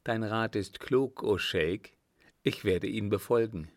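A man voicing a suspicious younger desert man in an audiobook, with a deliberate, insistent and formal manner. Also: fairly low pitch, dry recording, clean studio sound. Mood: respectful. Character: earnest, suspicious younger desert man advising his elder.

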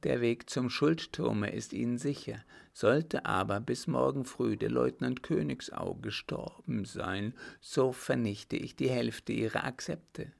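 A man voicing a scheming, smug baron who speaks in a cool, drawn-out, deliberate way. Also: mid-range voice, vocal fry.

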